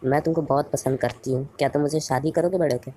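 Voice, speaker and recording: A woman playing an affectionate girl superhero in a cartoon dub, speaking in a gentle, sincere way. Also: low voice, fairly even intonation, fast talker. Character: caring, affectionate girl superhero with secret identity.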